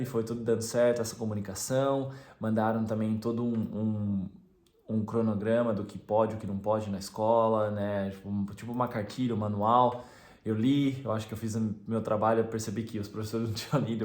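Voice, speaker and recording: Brazilian man, young adult, lowish voice, rambling casual vlog, echoey room. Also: a little mellow. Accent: Brazilian Portuguese. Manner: rambling, frequent "né" fillers and restarts. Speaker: teacher and vlogger.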